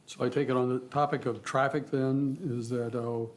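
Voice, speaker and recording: A man's voice: medium pitch, little pitch movement. A man on a commission, methodically listing concerns and asking questions at a meeting. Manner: deliberate, lists points methodically.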